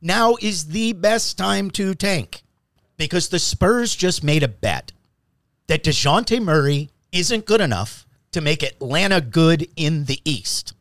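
A man's voice: on the high side, animated, slow pace, a bit bright, no echo. A man co-hosting a casual sports podcast, arguing basketball bluntly and emphatically with firm opinions.

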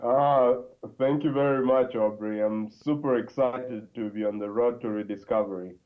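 A man from Congo, a podcast guest, telling his story in a halting way with many fillers and restarts. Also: medium pitch, fairly even intonation. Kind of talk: conversational interview. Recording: tinny phone-like line.

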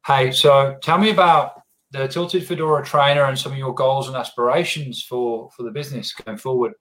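A man hosting a casual podcast interview, relaxed and often repeating words as he searches for the phrase. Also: mid-range voice, normal speed, low-quality recording. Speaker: podcast host.

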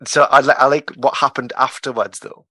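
A man's voice: mid-range voice, steady tone, fairly quick, pushed and bright, slightly rough, dry recording. A man hosting a podcast, casually asking questions and prompting his guest. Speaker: podcast host. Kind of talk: casual interview conversation.

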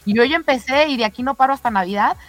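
A woman's voice: sits high, very fast, a bit bright, soft background music. A woman with a Mexican accent contributing to a casual morning show chat.

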